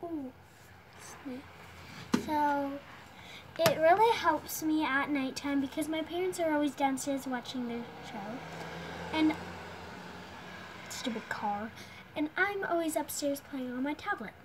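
A woman's voice: sits high, slow, long pauses, noisy background. Chatty young girl vlogging in her bedroom. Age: child, around 10 to 12. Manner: chatty, unscripted, self-talking while tying a headscarf. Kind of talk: casual home tutorial vlog. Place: small bedroom indoors. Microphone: phone or tablet camera set across the room.

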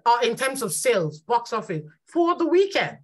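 Nigerian woman, 40s, roundtable panelist on a video call, animated and expressive, slightly high voice. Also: moderate pace, breathy edge. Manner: expressive, gesturing, lively quoting. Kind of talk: animated discussion. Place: small dim room indoors. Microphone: laptop webcam video call.

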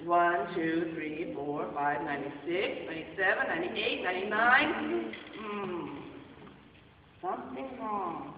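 A woman's voice: fairly low pitch, lively intonation, measured pace, highs cut off, slight background noise, pitched below the performer's own voice. A woman voicing a kind, caring male shepherd who calls his flock and frets over a missing sheep, heard from far off in an echoey hall. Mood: worried. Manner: calls out cheerfully, worries aloud when counting.

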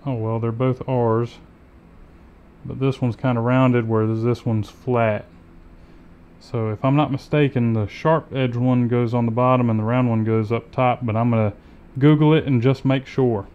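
A man's voice: medium pitch, fairly even intonation, moderate pace, darkish tone, smooth, highs cut off. A man in his thirties, a mechanic host chatting casually as he rebuilds an engine in his garage workshop. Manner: easygoing, talks while working with his hands.

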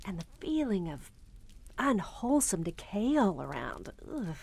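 Sardonic, animated young wife. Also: female voice, medium pitch, unhurried, vocal fry, breathy edge, heavily acted. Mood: exasperated, uneasy. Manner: dry, sarcastic. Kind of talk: old-time radio drama acting.

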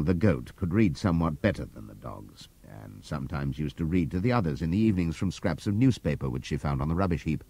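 A man reading an audiobook aloud in a measured, expressive storytelling manner. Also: sits low, no echo. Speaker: audiobook narrator. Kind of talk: scripted narration.

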